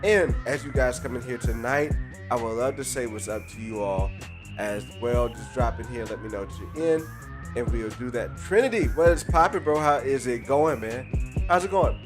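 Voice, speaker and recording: A man's voice: medium pitch, moderate pace. Stuffy-nosed man hosting a hype stream. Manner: hyped, slangy, greets chatters by name. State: stuffy nose, under the weather. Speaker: host of a live stream. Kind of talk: casual live-stream chat. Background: faint live jazz music.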